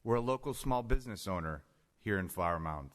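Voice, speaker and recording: A man's voice: deep voice, lively intonation, fairly quick. A middle-aged man giving a prepared opening statement as a candidate for mayor. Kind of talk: prepared campaign speech.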